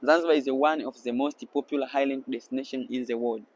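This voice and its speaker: A young man from Tanzania with a Tanzanian accent, hosting a casual street interview outdoors with a handheld mic and explaining things slowly in broken English. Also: medium pitch, steady tone, a little hiss or hum. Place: sunny beach boardwalk outdoors.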